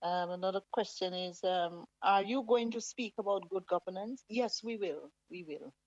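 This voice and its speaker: A woman hosting a talk show, playful and unhurried as she reads listener comments aloud. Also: medium pitch, a little mellow, no echo. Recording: dull lo-fi dry sound.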